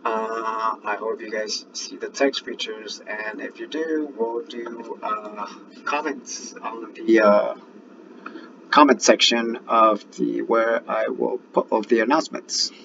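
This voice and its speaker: A man teaching an informal online read-aloud lesson in a halting, thinking-aloud manner. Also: on the low side, fairly even intonation, gravelly, lo-fi sound, background noise. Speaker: teacher reading aloud to pupils.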